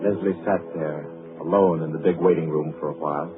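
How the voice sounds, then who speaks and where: A man's voice: a bass voice, steady tone, a little fast, music in the background. A man giving dry, wry scripted narration for a radio drama. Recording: tinny, hissy 1940s radio broadcast.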